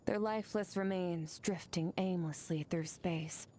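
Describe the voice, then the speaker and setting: Actress as an aloof, low-voiced swordswoman, cool and measured. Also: fairly even intonation, crowd noise, close to an everyday voice. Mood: solemn, musing. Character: aloof, stoic young swordswoman from another world. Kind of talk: scripted video game character monologue. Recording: game audio with music underneath.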